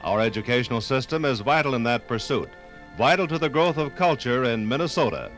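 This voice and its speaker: A man narrating a scripted 1950s radio documentary in a measured, formal, weighty manner. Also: medium pitch, lively intonation, a bit bright, soft background music.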